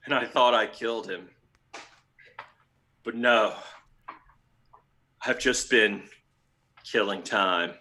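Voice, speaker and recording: A man playing a scheming, villainous lion uncle in an improvised scene on a video call, with a drawn-out, gravelly menace. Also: fairly low pitch, pushed and bright.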